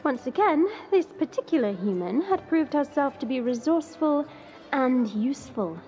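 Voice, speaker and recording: A woman's voice: sits high, animated, moderate pace, dark tone, soft background music. A woman playing a proud, sardonic young dragon woman who narrates an audio drama in a chatty, confiding way. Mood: dry narration. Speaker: narrator and lead character.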